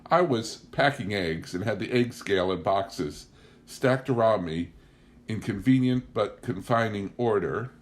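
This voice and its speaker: Male audiobook narrator, low-pitched, for first-person literary memoir. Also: a little slow. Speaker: audiobook reader.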